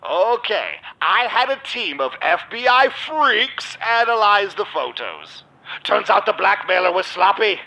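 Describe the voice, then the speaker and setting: A smug vampire club owner in an audio drama. His voice is high for a man and swoops theatrically. He takes his time, savoring his own schemes with suave calm. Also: measured pace, gravelly, heavily acted, rough recording. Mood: falsely sympathetic. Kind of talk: audio drama narration and dialogue.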